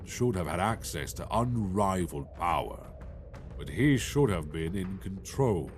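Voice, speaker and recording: A man playing a grave, reasoning judge in a fantasy audio drama, with formal, measured wording. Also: low-pitched, darkish tone, a little hiss or hum.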